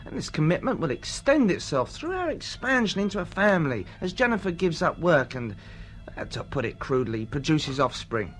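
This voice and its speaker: An actor playing a pompous, tactless, self-satisfied husband who lectures in stiff jargon, in a radio drama. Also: male voice, fairly high pitch, sing-song, brisk. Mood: smug, lecturing.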